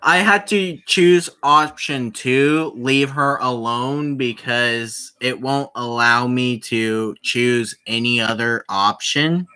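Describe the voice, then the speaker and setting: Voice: medium pitch, slow, hardly any pauses, pushed and bright, clean tone. A streamer chatting casually with viewers while playing a visual novel, rambling with many restarts and apologies.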